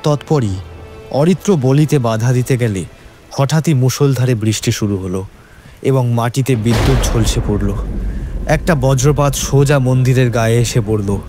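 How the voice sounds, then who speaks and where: A male narrator tells a horror tale in a mid-pitched voice. The delivery is scripted and dramatic, with light music underneath. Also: moderate pace, dark tone. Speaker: story narrator. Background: background music.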